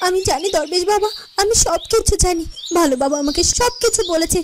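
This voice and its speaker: Woman doing a really high pitched cartoon heroine, young, pleading and determined, bit of noise behind. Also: lively intonation, brisk. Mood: distressed, resolute. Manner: pleading, emphatic, repeats phrases. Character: earnest, determined young heroine of a fairy tale. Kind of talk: dramatic Bengali cartoon voice acting.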